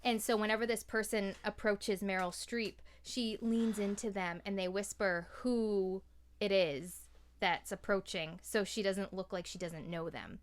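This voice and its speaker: A woman's voice: medium pitch, fairly even intonation, measured pace. A woman chatting casually as a podcast guest, telling anecdotes in a chatty, storytelling way.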